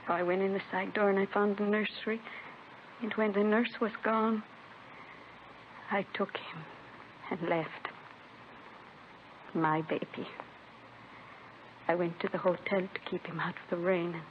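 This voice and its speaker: A woman's voice: medium pitch, fairly even intonation, unhurried, pauses often, close to an everyday voice. Tinny old radio, grieving young mother, played by an actress. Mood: grieving, haunted. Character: grieving, distraught young mother confessing to police. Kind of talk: 1950s radio drama acting. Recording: tinny, noisy vintage radio recording.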